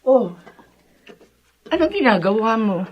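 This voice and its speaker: A woman acting a tender, devoted widowed mother in a radio drama, with a halting, emotional delivery. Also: on the high side, very animated, muffled recording, exaggerated voice. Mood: tender, wistful.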